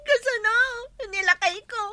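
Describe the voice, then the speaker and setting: A woman with an Ilocano accent playing a merry, excitable village wife with a squeaky voice in a comedy radio drama. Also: unhurried, background noise.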